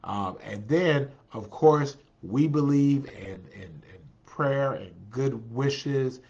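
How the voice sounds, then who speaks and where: A man's voice: medium pitch, takes it slowly, creaky, darkish tone, breathy edge. Guy hosting a livestream, stuffy nose, kinda nasal, talks easy with lots of ums, muffled audio. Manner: chatty and encouraging, with many fillers. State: congested, a little nasal. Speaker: livestream host. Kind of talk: casual livestream chat greeting viewers.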